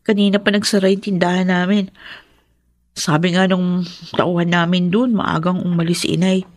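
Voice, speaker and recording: A woman's voice: lowish voice, little pitch movement, moderate pace, no room sound, clean studio sound, natural voice. A Filipino woman playing a worried, aggrieved young daughter in a radio drama, insistent and plaintive. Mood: puzzled, worried.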